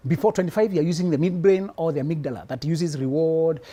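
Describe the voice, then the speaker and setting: A man's voice: a little high, steady tone, fast talker, dark tone. A man from Kenya, a psychologist guest on a live talk show, giving preachy relationship advice with teasing punchlines and frequent laughter.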